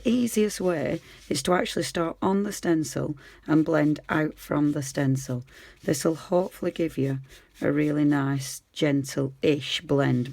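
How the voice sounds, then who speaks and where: A woman with a croaky voice, chatting easily through a hands-on craft tutorial as she works. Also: low-pitched, a little mellow. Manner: chatty, easygoing, talks while working. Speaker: craft demonstrator.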